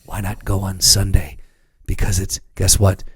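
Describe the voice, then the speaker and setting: A man's voice: low voice, little pitch movement, measured pace, husky. An American man co-hosting a podcast, chatting casually with emphatic, exasperated, profane comic complaints. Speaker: podcast co-host. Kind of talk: casual comedic chat.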